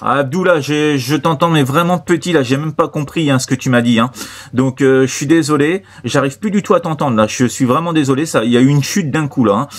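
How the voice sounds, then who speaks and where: Man, mid-range voice, halting delivery, repetitive, casual CB radio operator chat. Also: medium pitch. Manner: repetitive, halting, peppered with verbal tags.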